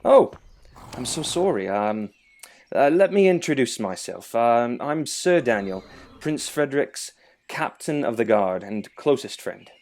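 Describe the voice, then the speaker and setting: Man playing courteous guard captain, lively pitch. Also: a little slow. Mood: apologetic, polite. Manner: polite, formal, earnest. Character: courteous, eager young royal guard captain. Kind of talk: amateur audio drama acting.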